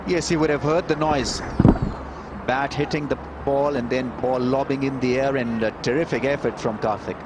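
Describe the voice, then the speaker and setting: A male cricket commentator giving measured analysis of the bowling on live TV. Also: medium pitch, fairly even intonation. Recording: loud crowd and noise, outdoor broadcast. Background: loud crowd and ground noise.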